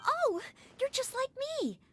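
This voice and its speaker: A woman's voice: extremely high pitch, huge pitch swings, measured pace, sharp tone, soft background music, full character voice. A woman voicing a polite, earnest young maid and aspiring knight in a video game, speaking courteously. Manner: courteous, formal. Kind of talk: animated video game acting.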